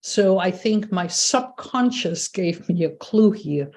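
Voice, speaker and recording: Slow, musing woman. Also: medium pitch, expressive, darkish tone. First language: Dutch. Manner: thoughtful, musing. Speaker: workshop participant. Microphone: video call.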